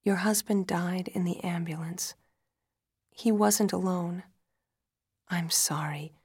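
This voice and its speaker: Female doctor for audio drama, voiced by a woman narrator: calm, measured, grave, creaky and breathy. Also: on the low side, dry recording, studio quality, full character voice. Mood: grave, gentle. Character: calm female hospital doctor breaking bad news. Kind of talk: dramatic audiobook-style storytelling.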